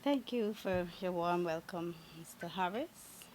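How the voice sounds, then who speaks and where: A woman from Guyana with a Guyanese accent, speaking as a government minister in a radio interview in a measured, formal way. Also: lowish voice, darkish tone. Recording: radio studio with faint noise.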